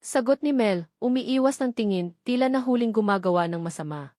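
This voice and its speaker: A woman audiobook narrator reading a scripted dramatic story in measured, short phrases. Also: on the high side, expressive, warm tone, dry recording, clean studio sound.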